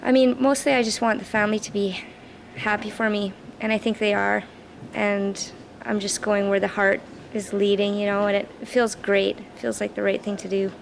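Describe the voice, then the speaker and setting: A young Canadian woman with a young-sounding voice, the bassist of a rock band, giving earnest, casual answers in an interview. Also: level tone, normal speed, vocal fry, hardly any pauses, poor audio. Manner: earnest, laughs easily. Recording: raw camcorder audio with background noise.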